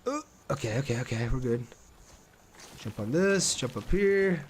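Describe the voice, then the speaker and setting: A man with a mid-pitched voice gives casual gameplay commentary on a live stream. He thinks aloud as he goes and talks informally to his viewers. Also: animated, normal speed, a little hiss or hum.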